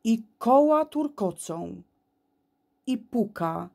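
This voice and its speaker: A woman giving an expressive, theatrical recitation of children's poetry, with deliberate phrasing and dramatic pauses. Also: fairly high pitch, creaky. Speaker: poem reader.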